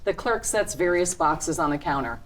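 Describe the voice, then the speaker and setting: A woman's voice: on the low side, expressive, moderate pace. A woman reading screen directions as scripted narration at a table read. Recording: faint noise and room ambience.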